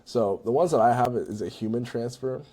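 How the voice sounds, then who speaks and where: A man's voice: medium pitch, a little fast, dark tone, breathy edge. A man giving a casual, conversational walkthrough as a tutorial presenter, in an informal way. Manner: informal, conversational, checks in with "right?" and "you know". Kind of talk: casual screen-recorded software walkthrough.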